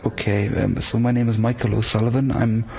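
Gravelly, husky Irish priest. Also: male voice, lowish voice, little pitch movement, fairly quick, a little mellow, soft background music. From Ireland, west coast. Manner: hesitant, many fillers. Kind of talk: interview answers.

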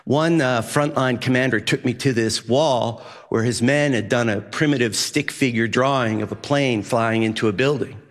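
A man's voice: medium pitch, moderate pace, few pauses, in a large hall. Middle-aged man telling a story. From Massachusetts, USA. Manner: vivid, animated when recounting action. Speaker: journalist and news editor. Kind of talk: personal storytelling.

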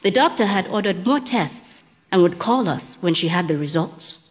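Woman narrator for literary fiction, calm and measured, lower voice, long reverb tail. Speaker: audiobook narrator. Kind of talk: first-person literary narration.

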